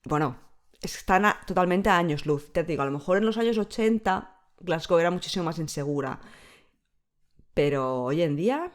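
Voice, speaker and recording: Lively female travel blogger. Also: on the low side, moderate pace. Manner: lively, emphatic, talks at length. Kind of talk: casual travel chat.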